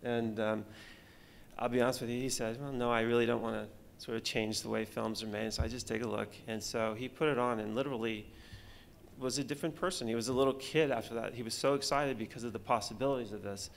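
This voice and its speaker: Middle-aged man, film director on a panel; gravelly, halting, restarts often, speaks into a table mic. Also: medium pitch, steady tone, slight background noise. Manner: halting, many fillers and restarts. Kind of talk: conference panel talk. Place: conference stage, seated at panel table. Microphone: table mic on stand.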